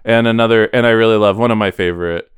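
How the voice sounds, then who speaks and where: A man's voice: on the low side, steady tone, fast, clear, resonant tone, no echo, clean studio sound. A man co-hosting a podcast in casual chat, talking in a chatty, self-deprecating way. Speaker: podcast co-host.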